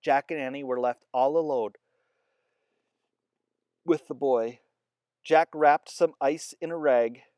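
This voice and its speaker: A reader narrating a children's chapter book aloud with expressive delivery. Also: medium pitch, slow, long pauses, clear, resonant tone, dry recording, clean studio sound. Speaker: storybook reader.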